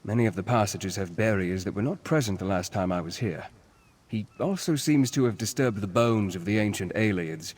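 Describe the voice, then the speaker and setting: An actor playing a learned, cautious scholar of ancient ruins in a fantasy game, speaking in a measured, formal, earnest way. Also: male voice, fairly low pitch, darkish tone, close to an everyday voice. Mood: grave. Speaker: quest-giving game character. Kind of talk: scripted fantasy game dialogue.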